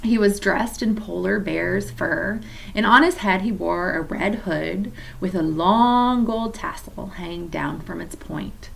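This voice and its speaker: Gravelly-voiced woman reading to kids. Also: fairly low pitch, slow pace, a little hiss or hum, poor audio. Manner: gentle, chatty asides to young listeners. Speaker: children's story reader at a church. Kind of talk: reading a novel aloud to children with asides.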